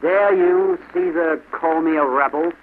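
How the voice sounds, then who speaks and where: A man's voice: mid-range voice, big pitch swings, a little fast, lightly acted. A man voicing a rude, boastful Hessian sergeant in a bellowing, emphatic storybook style. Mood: angry bullying. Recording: noisy, tinny old radio-like recording.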